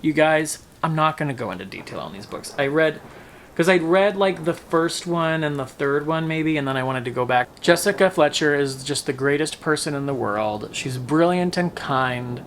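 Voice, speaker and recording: A man's voice: on the high side, normal speed, a bit bright, a little hiss or hum. A man talking casually as a book-review vlogger, with a wry, playful, emphatic delivery that draws out words. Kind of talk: casual, chatty book wrap-up.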